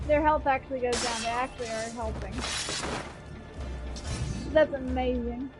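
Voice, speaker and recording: A woman's voice: high-pitched, fairly even intonation, moderate pace. A woman streaming a video game from her kitchen on a headset mic, chatting casually and echoing the characters' lines. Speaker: gaming streamer. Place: home kitchen. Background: game music.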